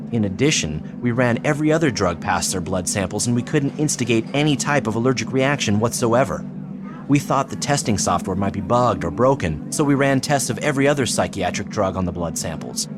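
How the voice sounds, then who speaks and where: Actor as an earnest, low-voiced male psychiatrist. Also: natural voice. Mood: intrigued, grave. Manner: measured, explanatory, solemn. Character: earnest, persuasive male psychiatrist pressing his case. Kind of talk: audio drama acting. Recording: clearly noisy background ambience.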